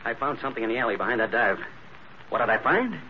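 On a muffled, noisy old radio recording, an actor plays a brash reporter after a scoop. He rattles along quickly with lively pitch swings and a wisecracking 1940s delivery. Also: natural voice. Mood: excited, sly.

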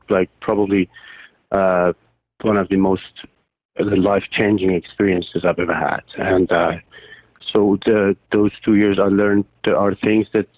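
Halting Iranian-born man on a phone line. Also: on the low side, no echo. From Iran. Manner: hesitant, many fillers and restarts. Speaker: guest, surgeon and poet. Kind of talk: halting interview answers.

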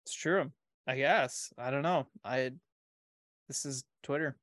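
A man's voice: medium pitch, unhurried, fairly bright tone, no echo, clean studio sound. A man co-hosting a casual podcast, easygoing and teasing, laughing often and hedging with fillers.

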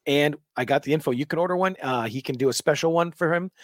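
A man hosting a casual podcast chat, upbeat and quick to laugh, reading out listener messages. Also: fairly high pitch, dry recording, clean studio sound. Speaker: podcast host.

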